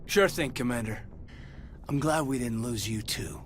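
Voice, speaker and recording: A man's voice: medium pitch, a little slow, slight background noise, lightly acted. A man playing a sincere, plainspoken crewman on a starship in a video game. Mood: supportive. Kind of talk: video game dialogue acting. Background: music.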